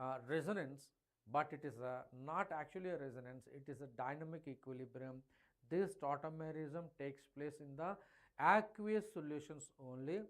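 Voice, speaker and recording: A middle-aged man with an Indian English accent, giving an emphatic live chemistry revision lecture to students online and addressing them directly. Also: a little high. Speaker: chemistry teacher.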